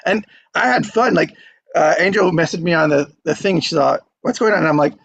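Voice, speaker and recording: A man's voice: a little high, fairly quick, vocal fry, fairly bright tone, lo-fi sound. A man hosting an online script reading group, chatting casually.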